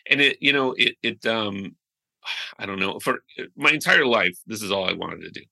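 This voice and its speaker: A man chatting as a podcast guest, telling stories with animated restarts and trailing phrases. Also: on the low side, moderate pace, vocal fry. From United States. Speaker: guest musician. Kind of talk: casual interview chat.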